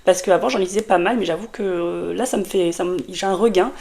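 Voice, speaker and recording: A woman's voice: fairly low pitch, fairly quick, gravelly, mellow. A woman reviewing a fantasy novel in a casual vlog, with a sore throat from a cold and a rambling, filler-heavy way of talking. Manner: chatty and rambling, many fillers and restarts. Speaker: book vlogger. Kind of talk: casual book review to camera.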